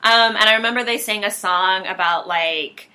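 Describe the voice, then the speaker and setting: A woman in her thirties with a mid-range, bright, crisp-edged voice, co-hosting a chatty podcast. She talks loosely and laughs easily between remarks. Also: mid-range voice, normal speed. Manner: chatty, laughs often, many "like" fillers. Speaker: podcast co-host. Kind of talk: casual chat.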